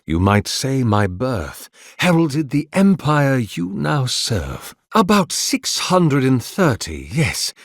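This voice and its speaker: An actor playing an ancient, weary, devout priest in a video game, speaking formally and eloquently with solemn dignity. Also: male voice, medium pitch, dry recording, studio quality. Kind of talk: dramatic video game dialogue.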